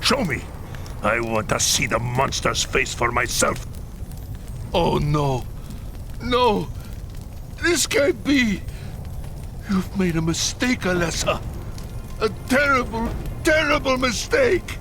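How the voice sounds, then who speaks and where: An actor playing a bitter, vengeful middle-aged clan leader in a video game, heated and dramatic, with a gravelly voice. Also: male voice, high voice, animated, takes it slowly, pauses often, exaggerated voice. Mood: grim demanding, then anguished grief. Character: bitter, vengeful human clan leader, grieving husband and father. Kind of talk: video game cutscene acting. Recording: loud music behind.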